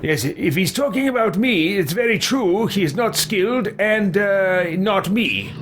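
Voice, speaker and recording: A man's voice: sits high, lively intonation, normal speed, noisy background. A man doing theatrical, declaiming in-character roleplay commentary over a video game. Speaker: gamer roleplaying a character in a playthrough.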